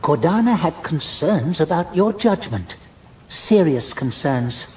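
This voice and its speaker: A man's voice: a little high, big pitch swings, slow, a little mellow, voice pushed up. A male narrator voicing a stern, cold female elf warden who speaks in clipped, accusing lines. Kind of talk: audiobook character voice.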